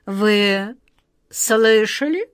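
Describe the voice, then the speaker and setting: A woman's voice: fairly high pitch, animated, measured pace, no room sound, clean studio sound. A woman playing a haughty, tiny mouse queen with a majestic, commanding, courtly way of speaking.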